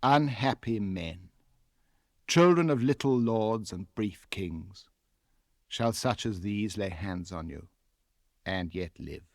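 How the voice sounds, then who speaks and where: A man with an English accent voicing a proud, scornful elven king in an audiobook, deliberate and coldly formal. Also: fairly low pitch, lively intonation, darkish tone, no echo, clean studio sound, voice pushed down. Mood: brooding disdain.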